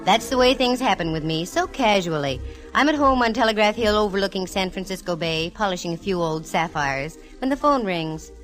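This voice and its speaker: A woman's voice: mid-range voice, animated, fairly quick, natural voice, rough recording. An actress playing a witty, self-assured female private detective in a 1940s radio drama, with wry, teasing banter. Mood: wry, confiding. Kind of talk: 1940s radio detective acting with first-person narration. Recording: vintage 1940s radio, music behind.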